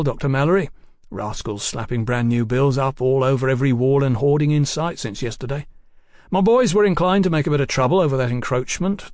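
A man's voice: medium pitch, a little mellow, dry recording, studio quality, natural voice. A man voicing an easy-going, talkative working-class bill-sticking boss in an audiobook, with folksy, colloquial phrasing. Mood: businesslike, genial. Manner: folksy, unhurried, colloquial, garrulous.

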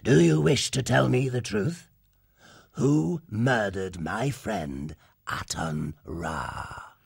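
A man's voice: on the low side, animated, no room sound, clean studio sound, pitched above the performer's own voice, exaggerated voice. A man voicing a cruel, menacing male wildcat warlord in a storybook reading, speaking with silky, mocking menace. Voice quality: gravelly, husky, slow and deliberate.

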